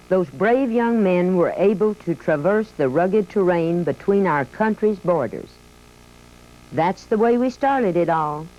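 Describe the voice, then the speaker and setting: A woman voicing an old retired army biplane who tells its story in a folksy, reminiscing way, with an American accent, in scripted museum narration. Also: fairly low pitch. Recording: dull lo-fi audio with faint music.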